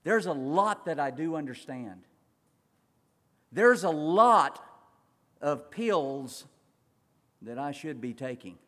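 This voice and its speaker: A man preaching in a church, his fairly high voice ringing with a long hall reverb. He swings from loud urgent passages to low, slow, quiet ones, stretching words out for weight. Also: expressive. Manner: dramatic swings between loud and quiet, slowing for emphasis. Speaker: pastor. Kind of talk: sermon.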